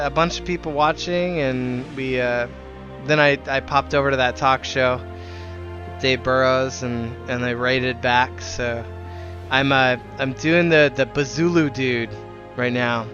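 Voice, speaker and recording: A man's voice: mid-range voice, fairly even intonation, a little slow, bright, forceful tone, dull audio, faint music behind. A man streaming a game live, chatting casually in a friendly, rambling way. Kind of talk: casual chat while streaming.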